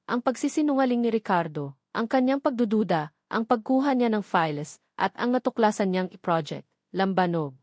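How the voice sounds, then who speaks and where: A woman narrates a scripted story dramatically, in short, measured, suspenseful phrases. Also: medium pitch, a little mellow, dry recording, clean studio sound.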